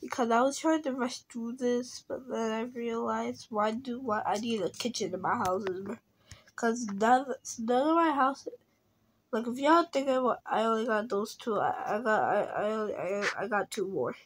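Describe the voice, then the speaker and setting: A woman's voice: on the high side, moderate pace, husky. A young girl chatting casually while playing a game, rambling and restarting, sometimes dropping to a whisper. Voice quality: rambling, often trails into a whisper. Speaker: game video creator. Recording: noisy phone recording with clicks.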